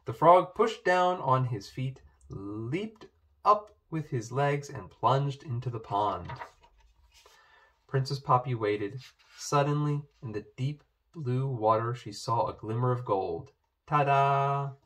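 A man reading a children's storybook aloud, with expressive narration. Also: medium pitch, slow pace. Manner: expressive, animated. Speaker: storybook reader. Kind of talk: children's book read-aloud.

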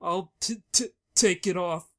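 A man's voice: sits high, unhurried, noisy background. A man playing an insecure, lonely young man with a heavy stammer in a comic-book dub. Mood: nervous.

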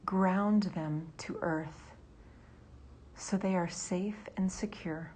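A woman leading a guided meditation in a soft, breathy near-whisper. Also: fairly low pitch, steady tone, drawn-out words, slight background noise.

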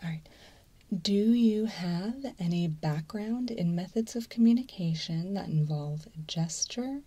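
A low, husky woman acting as a mild clinical intake assessor in an ASMR role-play. She whispers softly and breathily, working through things in a methodical, matter-of-fact way with small hesitations. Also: animated, measured pace. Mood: calm, methodical. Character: mild, businesslike female alien-abduction intake assessor. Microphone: close microphone.

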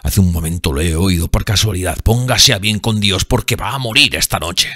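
A man's voice: sits low, a little fast, sharp tone, husky, no room sound, clean studio sound, pitched above the performer's own voice, heavily acted. A man voicing an enraged sailor hunting his sister's seducer, speaking in a solemn, threatening way. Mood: vengeful. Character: enraged male sailor, vengeful, grim.

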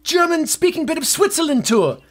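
This is a brash middle-aged British rock star in an audio comedy. His voice rides very high and jumps around animatedly. He is theatrical, sweary and full of himself. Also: very animated, fairly quick. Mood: exuberant, boastful. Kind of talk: comedy audio drama acting.

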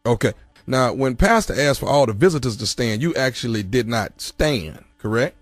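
A man playing a pompous, pushy church money collector in a deadpan prank call, insistent and preachy, often stammering. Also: medium pitch, moderate pace, no room sound, soft background music, natural voice. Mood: officious. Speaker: prank caller on a radio show.

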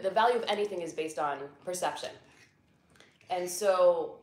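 A woman's voice: fairly low pitch, reverberant. A young American woman, a guest and venture capital investor, explaining things patiently and simply in a casual chat in a living room.

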